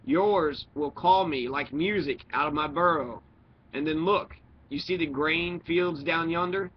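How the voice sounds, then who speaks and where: A man voicing a lonely, philosophical talking fox from a storybook, speaking in earnest, deliberate dialogue. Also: on the high side, pitched above the performer's own voice, natural voice. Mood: wistful. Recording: dull lo-fi recording with noise.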